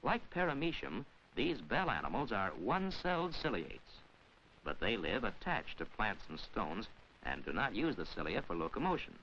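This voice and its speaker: A man delivering formal scripted narration in the measured, instructional manner of a mid-century educational science film, with careful enunciation. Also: fairly low pitch, very animated, bright, forceful tone. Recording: dull, hissy 1940s film soundtrack.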